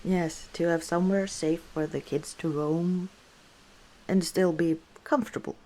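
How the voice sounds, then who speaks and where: A woman playing an easygoing, teasing female orc tavern owner in an unhurried ASMR roleplay. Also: fairly low pitch, steady tone, dark tone, lightly acted, rough recording. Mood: chatty, confiding. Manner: unhurried, playful teasing with frequent chuckles. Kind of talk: ASMR roleplay, one side of a conversation.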